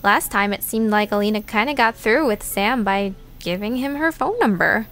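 A woman's voice: mid-range voice, animated, moderate pace, fairly bright tone. A woman narrating a scripted audio drama. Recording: music behind the voice.

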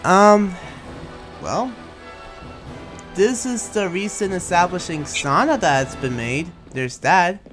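A man's voice: sits high, slow pace, pauses often. A man playing a friendly, awkward young demon slayer in improvised game roleplay, talking in a hesitant, rambling, casual way. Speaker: roleplayer in a Minecraft anime roleplay. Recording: music playing behind the voice.

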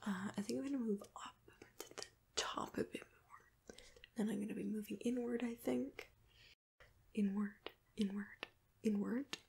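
A young woman doing a gentle ASMR personal-attention roleplay in a soft, breathy whisper, murmuring close to the mic to one listener. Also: medium pitch, fairly even intonation, a little slow, pauses often. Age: young adult. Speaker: ASMR roleplay host playing a sketch artist. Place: bedroom, indoors. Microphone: close mic, facing camera.

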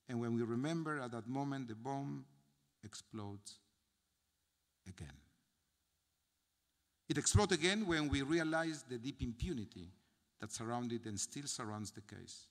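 Solemn older Argentine rabbi in a reverberant hall. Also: male voice, fairly high pitch, gravelly, fairly bright tone. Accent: Argentine Spanish. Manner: solemn, measured, reading from notes with dramatic pauses. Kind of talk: memorial sermon. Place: large synagogue sanctuary. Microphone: gooseneck podium mic. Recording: long hall reverb from the sanctuary.

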